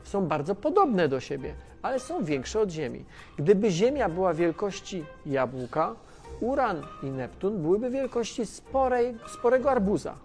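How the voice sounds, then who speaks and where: Animated, scatterbrained Tomasz. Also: male voice, high voice, normal speed, soft background music. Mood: curious, enthusiastic. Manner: excitable, rambling, repeats himself. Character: Tomasz, scatterbrained, excitable, inquisitive male friend of space probe. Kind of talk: children's educational radio play.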